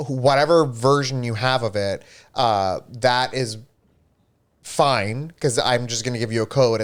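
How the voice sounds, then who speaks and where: Chatty guy cohosting a stream, smooth clear voice, says like a lot. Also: medium pitch. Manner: chatty, filler-heavy, upbeat. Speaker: livestream co-host. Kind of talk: casual livestream chat.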